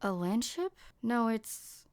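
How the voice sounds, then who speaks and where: A woman's voice: a little high, very animated, slow pace, a little mellow, no echo, clean studio sound. A woman playing a cold, guarded mercenary leader in an amateur game fan dub, speaking in a curt, cynical way. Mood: wary.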